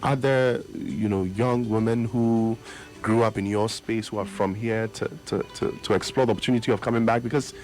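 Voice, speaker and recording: A man with a Ghanaian accent hosting a radio interview in a studio, asking lively questions and affirming the guest often. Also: fairly low pitch, moderate pace. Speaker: radio host. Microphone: studio microphone. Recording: radio studio over loud music. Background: loud background music.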